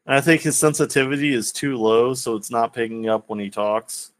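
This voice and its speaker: A man's voice: medium pitch, a little fast, no echo. A man with a mid-range voice talks as a podcast co-host. He is blunt and matter-of-fact in casual conversation. The sound is dry, with little room to it. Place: recorded remotely over a voice chat app.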